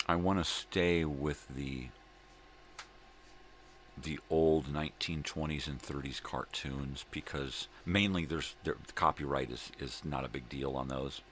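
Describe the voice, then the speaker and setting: A middle-aged man with a gravelly voice, rambling casually and folksily as he hosts a morning vlog, often repeating himself. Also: unhurried, a bit bright. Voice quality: gravelly, very deep. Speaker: host of a daily vlog. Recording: clear background room noise.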